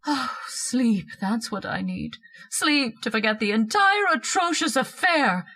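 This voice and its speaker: Shrill, imperious society wife, swooping high. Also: female voice, animated, measured pace, sharp tone, heavily acted. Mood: scornful, aggrieved. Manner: haughty, scolding, theatrical declaiming. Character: shrill, imperious, snobbish wife and party hostess. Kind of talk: old-time radio horror acting.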